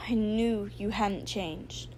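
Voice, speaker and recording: A woman's voice: mid-range voice, fairly even intonation, slow, darkish tone, slight background noise, lightly acted. A woman voicing a sarcastic, evasive teenage boy hacker with a casual, dismissive manner in a story reading.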